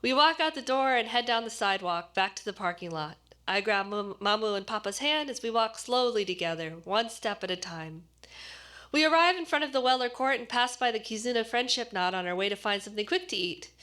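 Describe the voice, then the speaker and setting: A woman actor reading a short story aloud as expressive scripted narration. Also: on the high side, fairly quick.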